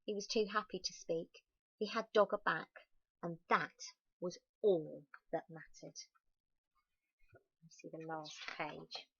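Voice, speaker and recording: A woman's voice: lowish voice, takes it slowly, vocal fry, long pauses, breathy edge, dull audio. A woman reading a children's picture book aloud expressively, with chatty asides to young listeners. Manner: animated, exclaiming, addressing young listeners. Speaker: storybook reader.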